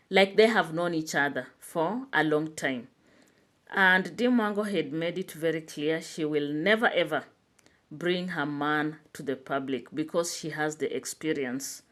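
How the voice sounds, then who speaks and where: Woman vlogger, lower register, casual talk-to-camera commentary with lots of fillers. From Kenya. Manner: chatty, animated, with frequent "you know" fillers. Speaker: YouTube commentator.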